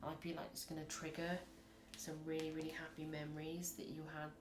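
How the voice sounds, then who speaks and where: A woman with a husky voice, reading tarot in a chatty, rambling way and acting out little scenes as she goes. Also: low voice, completely flat delivery, brisk, background noise. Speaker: tarot reader. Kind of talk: casual tarot reading to camera. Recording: echoey room.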